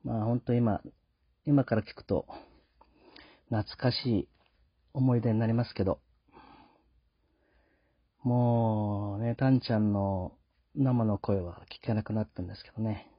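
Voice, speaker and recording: A man hosting a show solo, speaking politely and reflectively in a casual, halting monologue. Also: fairly low pitch, steady tone, slightly rough, no room sound. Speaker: host. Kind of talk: casual podcast sign-off monologue. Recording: dull lo-fi sound.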